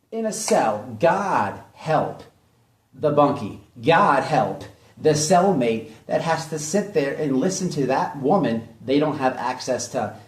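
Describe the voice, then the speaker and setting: A man giving opinionated drama commentary in a blunt, mocking way, hammering points home with repetition. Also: fairly high pitch. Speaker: online commentary host. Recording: echoey room, distant mic.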